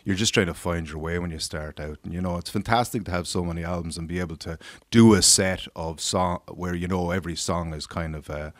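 Deep-voiced Irish man, halting and easygoing. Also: steady tone, no echo, studio quality. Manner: halting, repeats words, many fillers. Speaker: singer guest. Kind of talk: casual radio interview.

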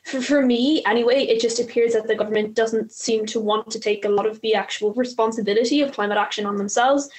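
Emphatic teenage girl activist, higher voice. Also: steady tone, quick pace, hardly any pauses, darkish tone. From Ireland. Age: teens. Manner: emphatic and frank. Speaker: youth climate activist. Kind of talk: panel discussion.

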